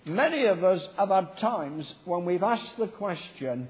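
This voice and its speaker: A middle-aged man, croaky from a cold, preaching a chatty, joking sermon to a congregation. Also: high-pitched, animated, a little slow. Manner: chatty, joking preacher leading the congregation in reading a verse aloud. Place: church. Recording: dull sound with long hall reverb.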